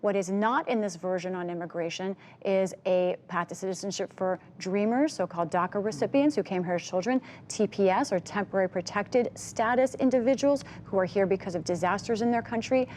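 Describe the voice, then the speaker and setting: A middle-aged woman news correspondent, giving a brisk explanatory breakdown of policy and correcting herself on the fly. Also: medium pitch, a little mellow, a little hiss or hum.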